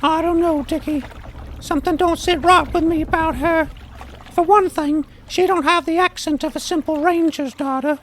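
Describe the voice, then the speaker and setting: Talking owl for fantasy audio drama: very high, countrified, timid gossip. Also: female voice, steady tone, moderate pace, a bit bright, a little hiss or hum, poor audio. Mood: suspicious, gossipy. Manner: folksy, ungrammatical rustic speech.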